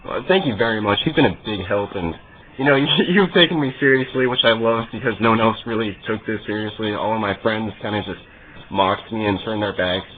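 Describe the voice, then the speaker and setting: A man making a prank phone call as a customer, speaking in a halting, deadpan, mock-earnest way. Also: fairly low pitch. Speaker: prank caller. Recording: muffled narrowband phone call.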